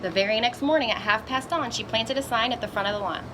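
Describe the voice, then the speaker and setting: A woman reading a children's picture book aloud in an expressive storytelling manner, with little asides to young listeners. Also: on the high side, quick pace, noisy background. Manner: expressive, acts out exclamations and dialogue.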